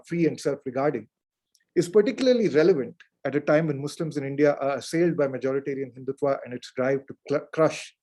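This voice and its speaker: Guy reading his academic panel remarks, mellow warm tone, kinda high for a man. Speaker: panelist at a book talk.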